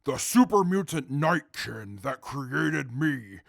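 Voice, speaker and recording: An actor playing a calm, articulate male super mutant who testifies in court in a formal, measured way with a gravelly voice. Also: mid-range voice, dry recording, studio quality, exaggerated voice. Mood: earnest. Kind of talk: audio drama acting.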